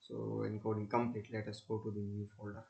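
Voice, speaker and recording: A man narrating a step-by-step software demonstration in a halting, repetitive way. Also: on the low side, monotone, creaky, dull audio. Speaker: student presenter demonstrating software. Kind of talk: screen-recorded tutorial demonstration.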